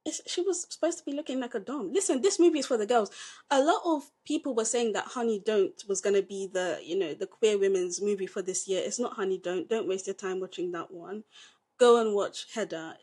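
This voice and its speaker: A woman's voice: medium pitch, moderate pace. A young British woman co-hosting a podcast, reviewing films in an emphatic, opinionated way. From Britain. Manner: emphatic, opinionated, animated delivery. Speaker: podcast co-host, film reviewer. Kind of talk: casual review chat.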